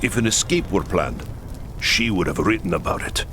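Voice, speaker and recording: A grave, deep-voiced camp leader. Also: male voice, expressive, normal speed, a bit bright. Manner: earnest and solemn, pleading at times. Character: grave, proud Kislevite camp leader, middle-aged man. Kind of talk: game cutscene voice acting. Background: orchestral game music.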